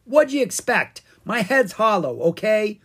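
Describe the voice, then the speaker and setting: A grumpy pumpkin-head king from a children's storybook, acted out by a narrator, pitched up and swooping with every boast. He is huffy, theatrical and full of his own importance, with a husky edge to the voice. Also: big pitch swings, a little slow. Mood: huffy, griping. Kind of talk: animated children's storybook character reading.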